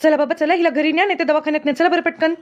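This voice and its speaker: A woman's voice: high voice, fairly even intonation, very fast, lightly acted. A woman voicing a bossy, sensible village woman in a cartoon comedy. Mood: urging. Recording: dull lo-fi sound with music behind.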